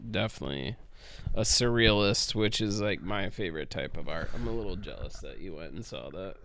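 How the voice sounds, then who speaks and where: A man co-hosting a podcast, chiming in with quick jokes and short reactions in casual chat. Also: deep voice, expressive, creaky, a bit bright. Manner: quick joking interjections.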